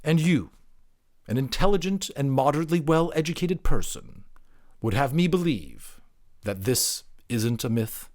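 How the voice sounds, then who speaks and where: A man voicing a big, patient gorilla teacher in an audiobook, speaking in a measured, deliberate, probing way. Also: mid-range voice, animated, darkish tone, voice pushed up, natural voice. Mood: probing, insistent. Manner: measured, deliberate, pointedly Socratic. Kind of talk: dramatic audiobook character acting.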